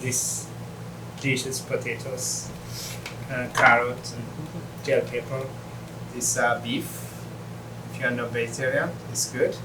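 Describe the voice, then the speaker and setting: A chef and restaurant owner introducing dishes at a shared meal, speaking haltingly with frequent pauses and "uh"s. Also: male voice, mid-range voice, flat delivery, far from the mic, very noisy. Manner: warm, halting, with many uhs.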